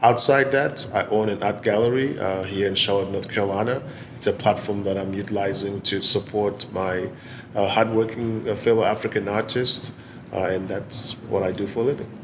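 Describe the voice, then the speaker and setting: A man in his forties with a Zimbabwean accent, giving measured, articulate answers in an interview. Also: on the low side, steady tone, a little hiss or hum. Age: 40s. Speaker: interviewee, business executive and gallery owner. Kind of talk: oral history interview answers.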